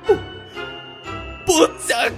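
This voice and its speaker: A man playing a hot-blooded, weepy and devoted gangster underling in an amateur radio drama, excitable and stammering. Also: unhurried, over music, close to an everyday voice. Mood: awed, earnest.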